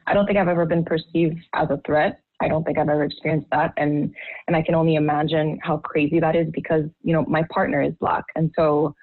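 Low-voiced woman on a tinny line. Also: dry recording. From Haiti. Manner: heartfelt, halting with many restarts. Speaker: guest. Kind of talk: candid personal testimony.

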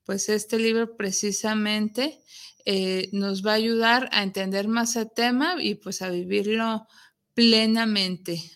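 A woman with a Mexican accent speaks in a bright, forward voice at a middle pitch. She presents like the host of an interactive show, addressing viewers conversationally and explaining as she goes. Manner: explanatory, poses rhetorical questions.

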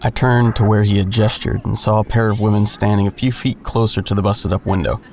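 A man's voice: on the low side, steady tone, fast, darkish tone, slight background noise, natural voice. An actor playing a man who narrates his own troubled story in a first-person audio drama. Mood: descriptive. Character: adult man recounting his troubled past. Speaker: narrator and main character.

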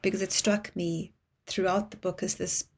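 A woman hosting an interview, speaking warmly and appreciatively to her guest. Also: lowish voice, flat delivery, moderate pace, slightly rough. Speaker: host.

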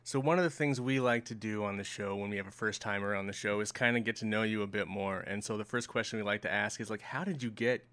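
A man's voice: fairly low pitch, fairly even intonation, fast, hardly any pauses, a bit bright, clear, resonant tone. A man hosting a casual video game podcast, asking friendly, rambling questions with plenty of fillers. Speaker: podcast host.

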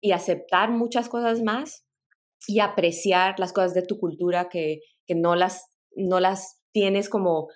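A woman's voice: fairly low pitch, steady tone, a little slow. A middle-aged woman from Peru, guest on a podcast interview, telling her life story thoughtfully with small laughs and restarts. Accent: mixed Latin American Spanish. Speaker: guest, tech product director.

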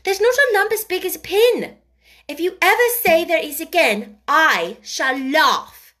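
A woman's voice: very high voice, animated, measured pace, a bit bright, voice pushed up, full character voice. A woman voicing a savage, bossy little girl in an audiobook story, scolding and commanding with great emphasis. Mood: stern, cross.

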